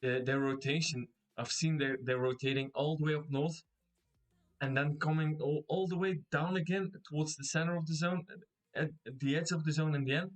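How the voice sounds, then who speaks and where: A man's voice: fairly high pitch, fairly even intonation, brisk, low-quality recording. A man casting esports with English as his third language, speaking hesitantly with many restarts and laughs. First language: not English. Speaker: esports caster. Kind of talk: casual esports commentary.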